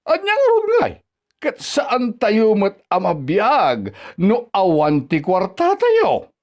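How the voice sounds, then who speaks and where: A man's voice: high-pitched, huge pitch swings, slow, heavily acted. A man playing a grumpy, scornful old father in a radio drama, scolding and sarcastic, with an Ilocano accent. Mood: indignant, bitter. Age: older. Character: grumpy, money-minded old father scorning his daughter-in-law.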